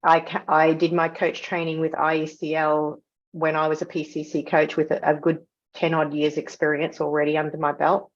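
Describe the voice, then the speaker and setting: A woman with a low voice leads an online class discussion. She encourages people and rambles a little. The video call audio sounds dull and lo-fi. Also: completely flat delivery, normal speed. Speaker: course facilitator.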